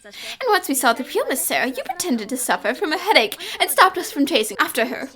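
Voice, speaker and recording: A woman plays a young female cartoon detective with a high, lively voice. She lays things out with total confidence and a theatrical flourish. Her pitch moves freely as she makes each point. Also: a little fast, exaggerated voice. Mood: assured, deductive. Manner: assured, explanatory, dramatic. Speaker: voice actor. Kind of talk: fan-dubbed cartoon acting.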